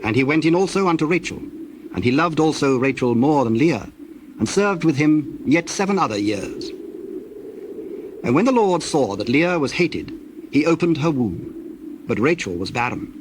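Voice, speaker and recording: A man narrating scripture as a formal, measured storyteller in a scripted dramatization. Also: a little high, animated. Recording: clear background noise, birdsong and doves.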